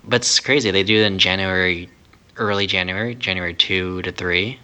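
Very bright, low-voiced man. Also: fairly even intonation, brisk, clear, resonant tone. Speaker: podcast co-host. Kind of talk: casual anime review chat.

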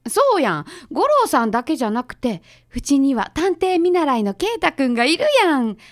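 A confident female detective's assistant with a Kansai accent, played by a woman, pitched high. She talks in a breezy, chatty way, in a conversational radio-play style. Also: sing-song, normal speed. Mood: excited, scheming. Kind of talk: radio drama acting, conversational.

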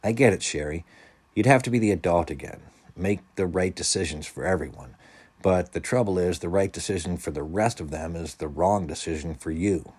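A man's voice: deep voice, brisk, darkish tone, husky. A man voicing a perceptive, patient young storybook friend who explains things in plain, blunt terms. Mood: understanding.

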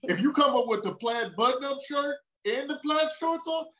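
A man's voice: very high voice, expressive, a bit bright. A man whose first language is Spanish, joking around as a podcast guest and teasing his hosts. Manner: teasing, playful, quick comic asides.

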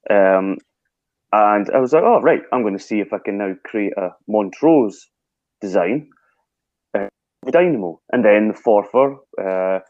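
Scottish man, lively storyteller, dry close sound, slightly dull remote recording. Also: on the low side, no echo. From Scotland. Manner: lively storyteller who acts out his own past thoughts. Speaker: guest. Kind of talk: casual interview chat.

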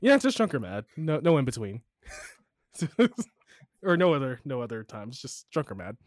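A man from Chicago hosting a casual podcast chat, animated and swearing, his voice leaping high when riled. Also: moderate pace, no echo. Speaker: podcast host.

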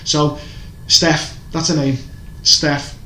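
A man's voice: on the high side, level tone, very slow, sharp tone. A man chatting casually as a podcast co-host, rambling and opinionated, with lots of restarts. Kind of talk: casual chat reviewing TV shows. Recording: echoey room, faint background noise.

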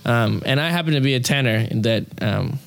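A young man talking about his singing in a relaxed interview. His voice sits at an average male pitch. He rambles and keeps dropping "you know" and "um" between thoughts. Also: a little fast, vocal fry. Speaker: opera singer, interview guest. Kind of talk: casual conversational interview.

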